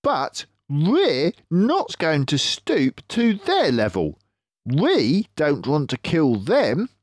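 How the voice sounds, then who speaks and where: A man voicing a cocky, suave fox who leads the others, with theatrical, drawn-out emphasis, in a children's storybook reading. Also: a little high, huge pitch swings, no room sound, clean studio sound, pitched above the performer's own voice, exaggerated voice. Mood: persuasive. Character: cocky, suave male fox, sly leader and provider.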